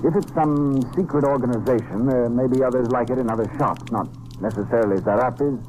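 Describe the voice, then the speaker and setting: An actor playing a shrewd American investigator in an old-time radio detective drama, speaking in a clipped, decisive, matter-of-fact way. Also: male voice, medium pitch, a little fast, background noise, natural voice. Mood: shrewd, intent. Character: hard-boiled American male investigator, shrewd and decisive, narrating his case. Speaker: lead actor and narrator.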